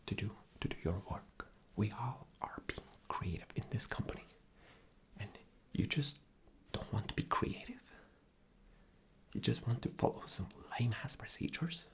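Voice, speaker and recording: A man's voice: fairly low pitch, unhurried. A man doing an ASMR roleplay as an arrogant, impatient, rude boss, with a condescending, demanding manner. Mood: impatient, scornful. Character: arrogant, impatient, rude male boss interviewing a job applicant.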